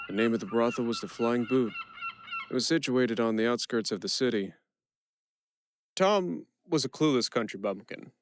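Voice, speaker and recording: Man playing a lowish-voiced prisoner storyteller. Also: noisy background. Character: prisoner Miro, a quick-witted improvising storyteller narrating his tale. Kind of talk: first-person dramatic narration for an audio play.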